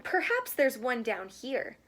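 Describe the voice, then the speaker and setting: A woman's voice: high-pitched, very animated, takes it slowly, fairly bright tone, exaggerated voice. A woman reading a storybook, voicing an earnest young brown bear who longs for a hug. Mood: plaintive. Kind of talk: children's storybook read-aloud.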